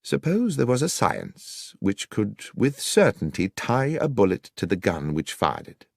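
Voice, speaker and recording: A man's voice: on the low side, very animated, a little slow, vocal fry, a little mellow, no echo, clean studio sound, full character voice. A man voicing a brilliant, commanding detective in an audiobook, with a British accent and precise, formal, authoritative manner. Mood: probing, precise. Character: brilliant, commanding male detective, brisk and grave.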